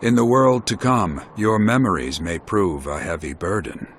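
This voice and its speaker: A man playing a weary, wise old warrior in a video game, speaking in measured, formal phrases. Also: deep voice, slight background noise. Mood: grave. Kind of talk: scripted video game voice acting.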